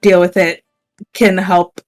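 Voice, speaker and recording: A woman's voice: on the low side, little pitch movement, takes it slowly, faint music behind. A woman on a podcast panel, sharing her thoughts in a casual fan discussion.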